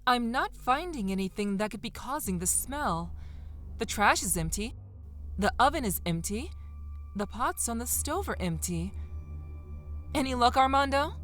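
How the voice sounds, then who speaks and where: A sharp-tongued, curious young woman exploring a haunted house in an audio drama, acted by a woman. Her voice is fairly high and very animated, with light music underneath. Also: moderate pace, pauses often. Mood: puzzled. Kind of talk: horror audio drama acting.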